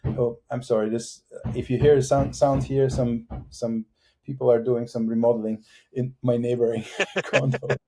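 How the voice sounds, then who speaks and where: Dark-voiced Bulgarian-accented middle-aged man. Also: medium pitch, little pitch movement, poor audio. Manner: halting, many fillers and restarts, laughs often. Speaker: guest engineer. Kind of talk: casual interview chat.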